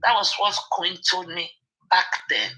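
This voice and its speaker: A woman's voice: lowish voice, lively intonation, a little slow, pushed and bright, rough recording. A woman from Nigeria with a Nigerian accent, a guest in a commentary chat, speaking earnestly and skeptically. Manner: earnest and skeptical, mixes in Yoruba phrases.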